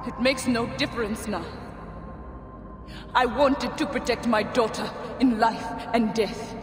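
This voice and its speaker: A woman voicing a grieving, vengeful mother who guards her daughter's tomb, with fierce, shrill outbursts, in an echoing underground tomb. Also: fairly even intonation, a little slow, music in the background, natural voice. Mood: despairing. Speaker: tomb ritualist. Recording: echoey cavernous hall with noise.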